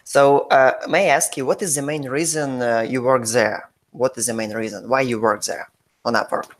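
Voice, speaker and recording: A man's voice: medium pitch, steady tone, moderate pace. A man with a Russian accent hosting a live-streamed interview, friendly and searching for words as he repeats his questions. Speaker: host, freelancer interviewing his client. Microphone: video call.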